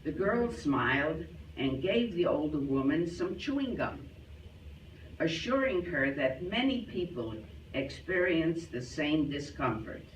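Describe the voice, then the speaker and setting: A man telling scripted jokes to a live audience with deliberate, theatrical delivery. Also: a little high, big pitch swings. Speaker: joke teller at a club show. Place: club hall, live performance. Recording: echoey hall, distant, faint noise.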